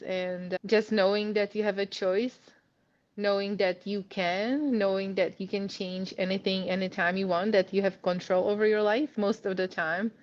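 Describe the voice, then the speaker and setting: A woman's voice: mid-range voice, dull audio. A woman in her thirties with a Czech accent, chatting as an interview guest, laughing often and full of "like" and "you know". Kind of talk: casual interview.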